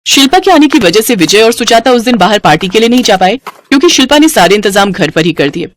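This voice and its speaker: A woman's voice: a little high, expressive, fast, no echo, clean studio sound. A woman reading scripted moral-story narration as the storyteller.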